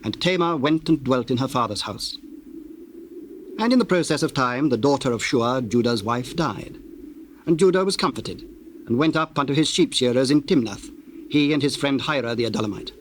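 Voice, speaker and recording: Guy reading bible narration, solemn, medium pitch, bit of cassette noise behind. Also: animated, moderate pace. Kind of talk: solemn dramatized scripture narration. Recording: hissy old cassette tape, birdsong behind.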